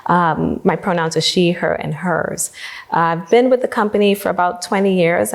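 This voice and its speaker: A woman, telling a personal story on a conference panel with measured pauses. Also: on the low side, creaky. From New York, USA. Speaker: panelist, diversity executive.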